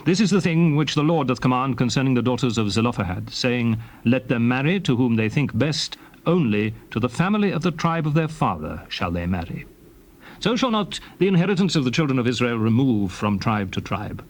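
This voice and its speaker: A calm prophet and leader in a scripture audio drama. His voice sits mid-range. He delivers judgment formally, with measured, restrained gravity. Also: medium pitch. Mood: calm, judicial.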